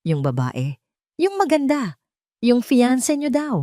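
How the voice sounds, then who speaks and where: A woman's voice: sits high, huge pitch swings, a little slow, dark tone, no echo, studio quality, pitched above the performer's own voice, heavily acted. A woman voicing a scared, guilty poor woman who pleads tremblingly and deferentially in an audio drama. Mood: tearful confession.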